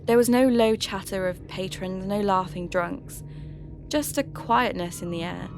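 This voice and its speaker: A woman with a British accent playing a sceptical, curious radio presenter, narrating her investigation in a measured, scripted podcast style. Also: mid-range voice, close to an everyday voice. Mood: sceptical, reflective. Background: music.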